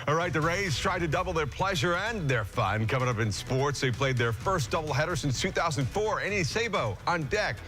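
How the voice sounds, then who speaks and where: A man's voice: a little high, fairly quick, hardly any pauses, sharp tone, soft background music. An American man working as a morning news anchor, moving between scripted news reading and casual banter.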